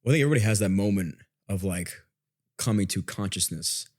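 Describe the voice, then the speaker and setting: A man's voice: sits low, lively intonation, fast talker, a little mellow. A man chatting casually on a podcast, informal and slangy, thinking aloud as he goes.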